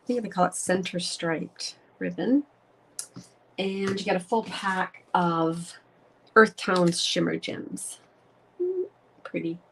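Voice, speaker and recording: Middle-aged woman, head cold, chatty live-stream craft host, lowish voice, faint hiss behind. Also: slow, gravelly, pauses often, rough recording. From Canada. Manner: chatty, greets viewers by name, upbeat.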